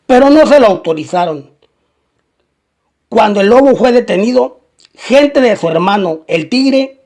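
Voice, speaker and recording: A man's voice: high voice, a little mellow. A man narrating a scripted, documentary-style crime story.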